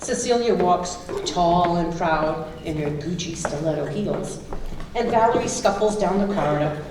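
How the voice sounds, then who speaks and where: A woman with a fairly low voice narrates stage directions from a script. She is heard from a distance in a very echoey hall, with music around her. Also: animated, normal speed, noisy background.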